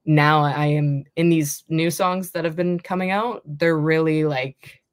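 A young woman singer-songwriter with a deep, slightly raspy speaking voice, talking casually in an interview and rambling with many restarts. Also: steady tone, a little slow, dry recording, studio quality. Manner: rambling, lots of likes and restarts.

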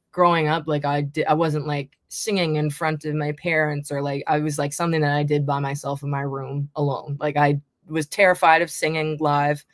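A young woman singer-songwriter with a deep, slightly raspy speaking voice, talking casually in an interview and rambling with many restarts. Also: no echo, studio quality. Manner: rambling, lots of likes and restarts.

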